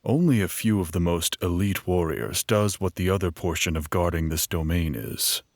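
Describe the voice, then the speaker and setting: A man playing a knowledgeable, wary minstrel guide in a fantasy audio drama, measured and explanatory. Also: low-pitched, creaky, a little mellow, no room sound, clean studio sound. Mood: informative.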